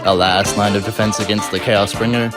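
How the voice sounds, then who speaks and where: A man narrating a scripted story in a dramatic, portentous, deliberate way. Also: on the low side, fairly even intonation. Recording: loud music over voice.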